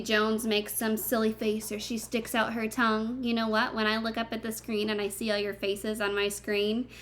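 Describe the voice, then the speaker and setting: A woman's voice: mid-range voice, pushed and bright. A woman reading a picture book aloud to young children like a teacher, expressively, with simple chatty asides and questions to her listeners.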